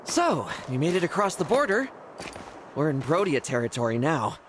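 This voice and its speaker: A man's voice: a little high, very animated, normal speed, background noise. A man voicing a gallant young crown prince in a video game cutscene. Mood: observant.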